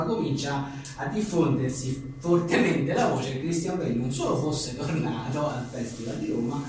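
A man being interviewed as an author, telling informal, digressive anecdotes in a wry way. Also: mid-range voice. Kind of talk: informal book talk, rambling anecdotes. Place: echoing indoor venue at a live book presentation. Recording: very echoey room, distant mic, faint noise.

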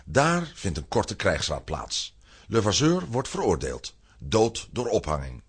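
A deep-voiced man tells a children's adventure as narrator. His voice is strongly husky and swings dramatically in pitch, giving a theatrical, suspenseful read. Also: huge pitch swings, unhurried. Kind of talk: dramatic scripted storytelling for children's audio drama.

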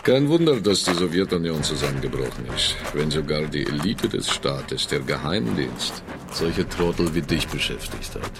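An actor playing a menacing middle-aged crime boss in a radio drama, speaking deliberately and sardonically with a gravelly voice. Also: male voice, a bass voice, big pitch swings, background noise, heavily acted. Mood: sardonic. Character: menacing middle-aged Russian male crime boss, chillingly matter-of-fact.

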